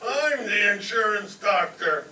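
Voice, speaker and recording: A man's voice: high voice, animated, slow, bright, forceful tone, heavily acted. A man playing a dazed, long-suffering, groaning doctor in an old-time radio comedy on stage. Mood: dazed, pained. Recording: echoey stage from far off, faint noise.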